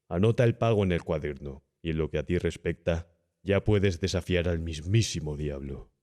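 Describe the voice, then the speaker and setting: A man voicing a cynical, calm, domineering young gentleman body snatcher who talks persuasively and condescendingly in an audiobook horror story. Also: low-pitched, normal speed, close to an everyday voice. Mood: calm, instructing. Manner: smooth-talking, persuasive, condescending.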